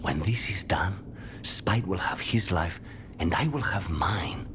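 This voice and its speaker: An actor playing a grim, honour-bound assassin in a fantasy game, terse and intense, with a breathy delivery. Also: male voice, sits low, measured pace, noisy background. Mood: solemn, grateful. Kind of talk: scripted fantasy game dialogue.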